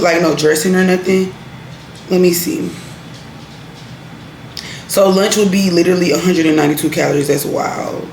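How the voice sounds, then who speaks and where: A young woman, chatting casually and laid-back in her vlog, laughing easily. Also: mid-range voice. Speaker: vlogger. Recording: echoey room with noise.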